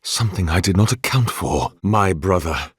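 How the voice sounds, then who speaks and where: A man's voice: low voice, lively intonation, moderate pace, husky, dry recording, clean studio sound, full character voice. A man playing a devout, grandiose male oracle in a video game, speaking in a solemn, florid, sermonizing way as a zealous, mournful servant of a god. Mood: solemn, welcoming. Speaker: video game character voice actor. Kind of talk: theatrical scripted game dialogue.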